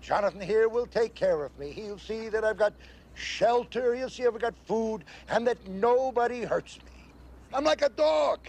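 A man's voice: high voice, expressive, normal speed, a little mellow. An actor playing an exasperated American man, griping and talkative with a sarcastic edge, in a television drama. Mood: indignant, sarcastic. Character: exasperated sarcastic human partner of an angel.

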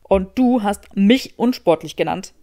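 A woman's voice: mid-range voice, a little slow, dark tone, lightly acted. A woman voicing a cocky, sardonic young man with a sarcastic drawl, in an audiobook story. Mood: teasing accusation.

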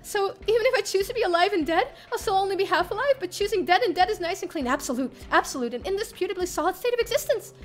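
A woman's voice: extremely high pitch, expressive, fast, few pauses, voice pushed up. A woman voicing a distraught, anguished young heroine of a horror visual novel in dramatic first-person narration. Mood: desperate.

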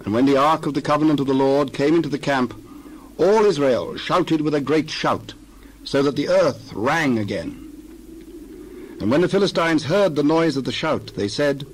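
A man's voice: a little high, animated, moderate pace, slight background noise, low-quality recording. A man narrating scripture from a script as part of a dramatized Bible reading.

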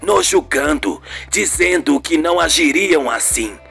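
A performer voicing a supportive, authoritative male TV station boss, measured and reassuring, in a radio soap. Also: medium pitch, expressive, gravelly, fairly bright tone, faint music behind, exaggerated voice. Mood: solemn encouragement.

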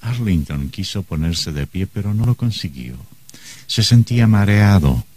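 Very deep male horror narrator. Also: expressive, a little slow, a little mellow. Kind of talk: dramatic scripted radio horror narration. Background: music.

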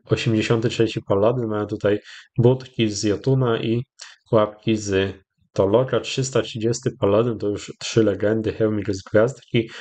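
A Polish man hosting a gaming channel, giving casual, rambling commentary with hesitant, drawn-out pauses. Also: lowish voice, steady tone, darkish tone. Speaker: YouTube gaming host. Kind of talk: casual commentary over gameplay.

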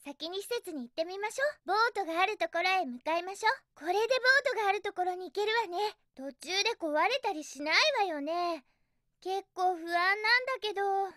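A young cartoon hedgehog heroine from a video game, with an extremely high, girlish voice. She is perky and a little bossy, speaking with feminine energy and a bright, forceful edge. The sound is dry and close. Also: brisk, no echo. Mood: concerned, thoughtful. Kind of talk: Japanese video game character voice acting.

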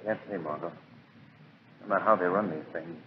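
An actor playing a composed, resolute gentleman hero in a 1940s radio drama. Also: sits low, a little fast, lightly acted. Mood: calm. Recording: tinny 1940s radio recording, faint noise.

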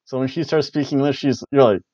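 A middle-aged man rambles through a wrestling recap from his home room, tossing in jokes and playful exclamations. His voice sits at an ordinary middle pitch. The headset audio is dull and lo-fi, with a dry, close sound. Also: fast, a little mellow, dry recording. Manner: chatty, jokey, loose play-by-play with playful exclamations. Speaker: wrestling review host. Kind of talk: casual review chat. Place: small home room with bookshelves, indoors. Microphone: headset mic on a webcam call.

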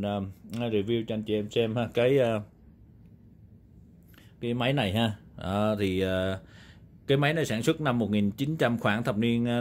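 A man with a Southern Vietnamese accent giving a casual, unscripted review as a video host, explaining in a rambling, conversational way with repeated confirming tags. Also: mid-range voice. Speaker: video host reviewing vintage audio gear. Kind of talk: casual, unscripted product talk. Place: indoor room, demonstrating equipment on a table.

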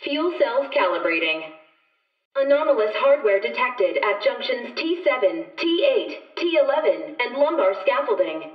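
A woman voicing a polite, unflappable onboard computer of a video game mech, making measured, clipped, matter-of-fact system announcements. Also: a little high, roomy sound, far from the mic, muffled recording.

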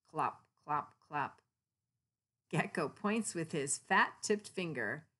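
A woman narrating a children's picture book in an expressive read-aloud style. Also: sits low.

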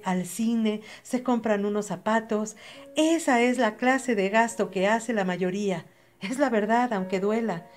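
A woman's voice: fairly high pitch, a little mellow. A young adult Mexican woman with a Mexican Spanish accent giving a direct, earnest motivational voice-over, speaking straight to the listener. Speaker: channel host.

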